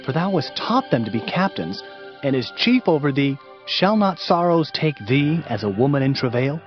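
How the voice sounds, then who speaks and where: An actor playing a grave, lamenting Hebrew prophet in a solemn, declamatory dramatized Bible reading. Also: male voice, a little high, sing-song, moderate pace. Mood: grave warning. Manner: solemn, declamatory, archaic phrasing. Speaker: dramatized scripture reader. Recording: dull audiobook sound with music underneath.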